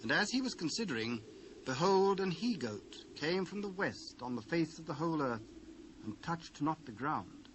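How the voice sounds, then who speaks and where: A man's voice: a little high, sing-song, normal speed, fairly bright tone, slight background noise. A British man narrating scripture in a solemn, formal storytelling manner for a dramatised reading.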